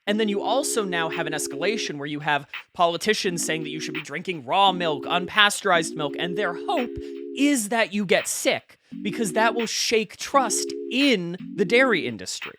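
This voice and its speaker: A performer playing an earnest, wry conspiracy podcast host, delivering a conversational, mock-serious, emphatic monologue. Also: medium pitch.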